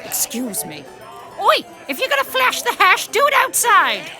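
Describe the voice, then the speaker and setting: High-voiced brash coffee-house madam. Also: female voice, huge pitch swings, normal speed, soft background music, exaggerated voice. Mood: bossy. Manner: loud, no-nonsense, exasperated. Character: brash, bossy female coffee-house keeper, wry and bawdy. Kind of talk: period audio drama acting.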